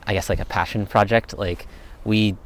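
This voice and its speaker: Laid-back low-voiced young man. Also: steady tone, moderate pace, poor audio. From United States. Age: young adult. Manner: laid-back, rambling, thinks aloud. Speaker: vlogger answering viewer questions. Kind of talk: casual Q&A chat.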